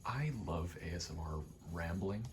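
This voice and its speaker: A man softly rambling in a casual ASMR style, breathy and often whispering, sweary and self-deprecating. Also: low voice, moderate pace. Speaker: video creator rambling to camera. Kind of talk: soft-spoken ASMR ramble. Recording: clear background noise, rattling vent. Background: rattling vent noise.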